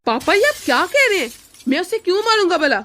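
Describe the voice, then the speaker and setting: A woman's voice: very high-pitched, sing-song, fast, a bit bright, full character voice. A woman voicing a young son standing up to his father. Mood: indignant. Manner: pleading then indignant. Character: upright young grown son, defending his mother.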